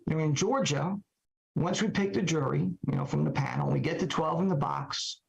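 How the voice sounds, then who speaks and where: Hoarse, gravelly-voiced man. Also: fairly high pitch. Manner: halting, many fillers and rhetorical questions. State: hoarse, recovering voice. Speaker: trial lawyer podcast guest. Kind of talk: reflective solo talk. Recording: dull lo-fi podcast audio.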